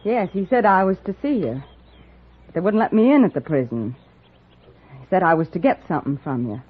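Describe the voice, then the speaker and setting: An actress playing an anxious young sweetheart in a radio western, earnest and faltering. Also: female voice, on the low side, sing-song, fairly quick, husky. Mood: anxious, pleading. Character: anxious, hesitant young sweetheart of an outlaw soldier. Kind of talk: 1950s radio western acting. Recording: tinny old radio recording.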